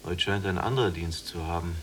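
An actor playing an earnest, idealistic younger prisoner in a literary radio play, searching and pleading in manner. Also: male voice, low voice, brisk, fairly bright tone, a little hiss or hum. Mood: pensive. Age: young adult.

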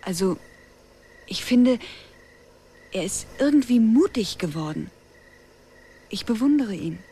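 A woman in a radio play, playing a gentle, timid settler in love, speaking tenderly and hesitantly. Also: a little high, animated, slow pace, slightly rough, heavily acted. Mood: admiring. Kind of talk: German radio play acting.

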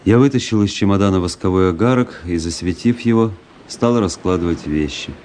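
An actor playing a reflective army officer who narrates his travels in a literary radio drama, pensive and measured. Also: deep voice, darkish tone, slight background noise, natural voice. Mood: pensive, uneasy.

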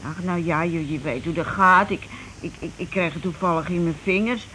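An actress playing a timid, flustered housewife in a Dutch radio play, halting and repeating her words. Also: on the low side, fairly bright tone, lightly acted. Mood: nervous confiding. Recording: noisy old radio play recording.

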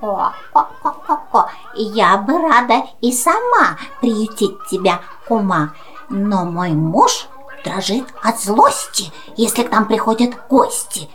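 A woman's voice: medium pitch, lively intonation, slow, a bit bright, slight background noise. A woman playing a fussy, apologetic mother hen, clucking between rhymed lines in a children's audio play. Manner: clucking, gossipy.

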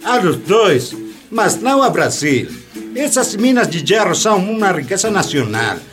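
A man plays a firm, defiant president in a radio drama. The voice is high and moves widely in pitch. Music plays behind. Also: sing-song, a little slow. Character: defiant, firm Brazilian president refusing foreign pressure. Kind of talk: radio theatre acting.

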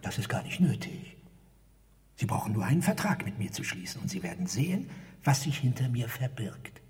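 A man's voice: medium pitch, very animated, normal speed, a little mellow, lightly acted. Actor as a meek little man in echoing hall. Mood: quietly insinuating. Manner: wordy, formal, pleading persuasion, often dropping to breathy whisper. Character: meek, wheedling parking-garage attendant desperate for a bodyguard. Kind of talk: theatrical radio drama dialogue. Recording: reverberant hall-like space.

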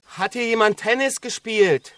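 A man's voice: high voice, measured pace, fairly bright tone. An actor playing an urgent young man calling out in a raised voice in a radio crime drama. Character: urgent young man searching, older brother.

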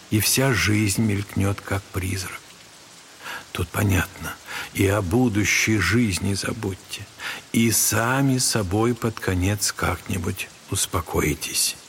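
An aged, wise monk in a literary radio play. He speaks very slowly with a crackly, gravelly edge, gently admonishing and counselling. A slight hiss sits on the recording. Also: on the low side, fairly even intonation, exaggerated voice. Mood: gentle, grave. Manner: slow, measured, gently admonishing. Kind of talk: literary audio drama acting.

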